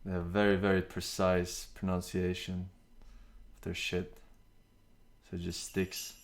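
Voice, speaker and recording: Relaxed low-voiced male streamer. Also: unhurried. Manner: relaxed, rambling, with fillers and some swearing. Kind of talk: casual stream chat reacting and reading chat.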